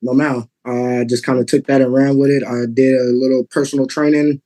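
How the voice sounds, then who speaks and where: A man in his thirties from Texas, answering a judge's questions in court. His pitch is middling for a man. He speaks politely and haltingly, often with fillers. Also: little pitch movement, warm tone. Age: 30s. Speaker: litigant father.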